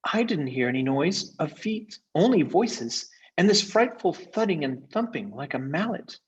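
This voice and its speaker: Man as a storybook young girl, raised pitch, earnest, plucky. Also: big pitch swings, a little slow, slightly rough, recorded from a distance, highs cut off, exaggerated voice. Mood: earnest, alarmed.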